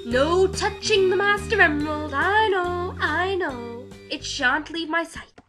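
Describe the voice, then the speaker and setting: A woman's voice: very high-pitched, slow, sharp tone, faint music behind, heavily acted. A woman voicing a prim, scholarly female pika archaeologist who speaks in a genteel, chatty and easily flustered way. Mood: polite, fussy. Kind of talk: fan voice acting reel.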